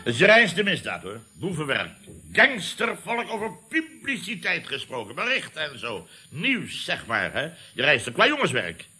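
Sharp, blustering, indignant boss. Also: male voice, fairly high pitch, wildly swooping pitch, a little slow, a little hiss or hum, exaggerated voice. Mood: indignant, flustered. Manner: blustering, sputtering, repetitive, exasperated. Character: indignant, blustering male boss of a building firm. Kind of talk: 1970s Dutch radio comedy acting.

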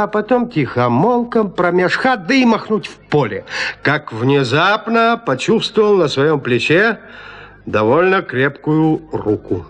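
A man narrating a literary story as the scripted narrator of an audio drama. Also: a little high.

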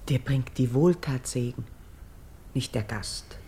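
Low, mellow-voiced noble priestess. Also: female voice, a little hiss or hum. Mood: troubled, guarded. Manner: formal, measured, reverent declamation. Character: noble, reserved exiled priestess, humble and guarded. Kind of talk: classical verse radio drama.

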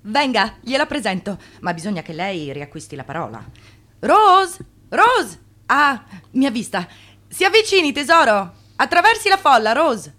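A woman's voice: a little high, big pitch swings, moderate pace, bright, forceful tone. An actress playing an effusive, gossipy landlady and piano teacher who plays matchmaker in an Italian radio drama. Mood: excited, calling out.

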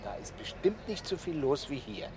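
A man's voice: a little high, very animated, moderate pace, noisy background. An actor playing a teasing, stubborn man in a German radio play, speaking in a playful, assertive way. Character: Heinrich, teasing, stubborn male host and driver.

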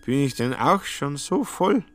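An actor as the wry narrator of a satirical radio drama, telling the story and acting out the quoted lines. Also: male voice, fairly high pitch, expressive, measured pace, fairly bright tone. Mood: dramatic, wry.

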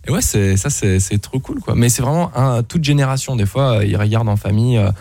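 A man's voice: medium pitch, brisk, dark tone, dry recording. A man from Luxembourg speaking casually and informally, with slang, as a guest in an interview. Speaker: interview guest, online video creator.

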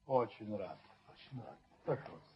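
A man's voice: fairly low pitch, lively intonation, measured pace. An actor playing a stately, self-important, middle-aged father in a radio play, speaking with formal, commanding courtesy. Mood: formal, courteous. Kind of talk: theatrical radio drama acting. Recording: dull, noisy 1970s radio recording.

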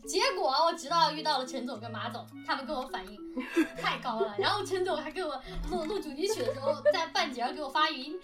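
A woman chatting casually and answering questions in an animated, giggly, talkative way. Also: sits high, fast. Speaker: audio drama planner, lyricist and songwriter. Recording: distant mic at times, music behind. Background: music.

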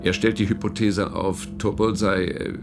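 An actor playing a measured, dry, commanding senior official in a radio drama, with a gravelly voice. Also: male voice, sits low, steady tone, loud music behind the voice.

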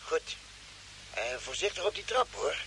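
An actor playing a cautious, concerned expedition doctor in a vintage radio drama, speaking in an insistent, cautioning way. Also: male voice, fairly high pitch, big pitch swings, measured pace, pushed and bright, exaggerated voice, rough recording. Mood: concerned, careful. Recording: hissy old radio recording.

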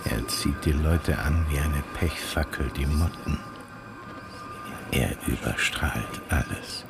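Feierlicher Erzähler mit extrem tiefer Stimme. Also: male voice, extremely low pitch, slow pace, vocal fry, over music, heavily acted. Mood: solemn. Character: solemn male narrator of a star's rise. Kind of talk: radio drama narration.

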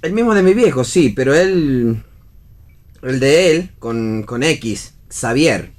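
Wry, animated young Argentine husband. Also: male voice, mid-range voice, slow pace. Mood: halting, deadpan. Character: wry, sardonic young husband and son-in-law. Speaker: actor in a read-theatre radio play. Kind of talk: dramatic play reading.

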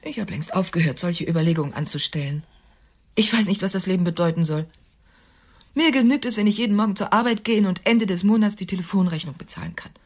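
Woman acting older lady, husky n breathy, dry and matter-of-fact, bit formal, radio play. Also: fairly low pitch, quick pace, close to an everyday voice. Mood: dry, reserved. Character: older working woman, sober, reserved, secretly tender.

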